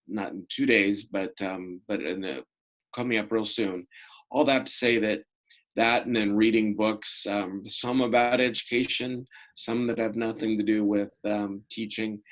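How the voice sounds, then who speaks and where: Low-voiced middle-aged man, measured. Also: low-quality recording. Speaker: interview guest, school prevention programs coordinator. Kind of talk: conversational interview answers.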